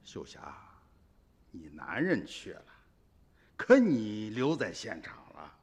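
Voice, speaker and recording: A man's voice: on the low side, huge pitch swings, takes it slowly, creaky, husky, heavily acted. An actor playing a principled, earnest old farmer and grandfather in a radio drama, speaking in a plain, folksy way. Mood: probing, suspicious.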